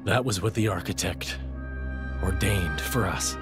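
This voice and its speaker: An actor playing a weary, bitter warrior with grim resolve in a video game cutscene, speaking gravely. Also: male voice, low voice, a little slow. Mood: bitter, brooding. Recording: loud ominous music behind.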